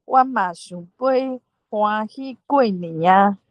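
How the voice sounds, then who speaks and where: Ruler of the gods, read by a woman, stately folk-play declamation; very slow, dry lo-fi sound. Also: mid-range voice, dry recording, lightly acted. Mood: magnanimous, reassuring. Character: magnanimous Jade Emperor, ruler of the gods. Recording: dry, dull lo-fi call audio.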